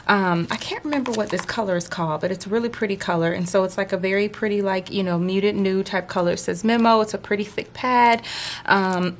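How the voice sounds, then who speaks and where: A woman's voice: medium pitch, slight background noise. A woman, chatting casually through a planner unboxing, a bit stuffy from a sinus cold, rambling and friendly with lots of fillers. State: slightly stuffy, congested from sinus trouble. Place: indoors at a desk under lights. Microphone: overhead camera, hands only.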